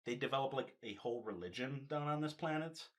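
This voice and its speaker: A man's voice: mid-range voice, fast. A man chatting casually about films and comics, explaining things in a loose, step-by-step storytelling way.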